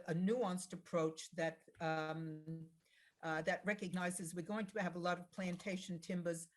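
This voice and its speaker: A woman speaking as an election candidate, answering forum questions in an emphatic, blunt way. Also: fairly low pitch. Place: online video call.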